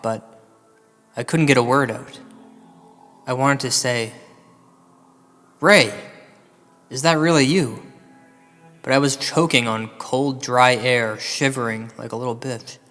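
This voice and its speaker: In a long hall reverb over music, a man narrates a tongue-in-cheek ghost tale. He takes his time, with a deadpan, halting delivery that leans into mock-gothic drama. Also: medium pitch. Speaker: storyteller. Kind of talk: slow comedic ghost-story narration, part verse recital.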